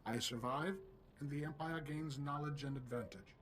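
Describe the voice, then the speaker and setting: A man voicing a coldly rational, knowledge-hungry intelligence in a video game, speaking formally and deliberately. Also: fairly high pitch, fairly even intonation, a bit bright, slight background noise, close to an everyday voice. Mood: cold, insistent.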